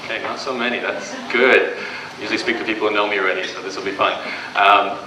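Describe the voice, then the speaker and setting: Low, flat-voiced man with a Canadian accent. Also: fast talker. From Australia. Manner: casual, conversational, with frequent fillers and restarts. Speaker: speaker at a business event. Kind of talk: live presentation to an audience. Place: event room before an audience. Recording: distant, echoey live room recording with background noise.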